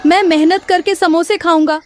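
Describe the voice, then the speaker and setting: Woman voicing a cartoon village boy, pleading, excited, very high voice, loud. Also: moderate pace, faint music behind. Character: hungry eager young village boy. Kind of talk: cartoon story voice acting.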